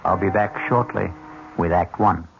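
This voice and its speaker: Male host, dramatic scripted narration, lowish and animated, dull noisy vintage radio. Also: normal speed, creaky.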